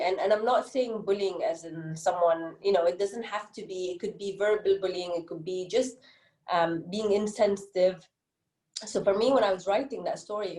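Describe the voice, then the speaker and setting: Female interviewee with Egyptian accent, warm dark timbre, reflective and earnest, conversational, recorded with audible room echo. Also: on the low side. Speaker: interviewed children's book author. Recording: roomy, echoey sound.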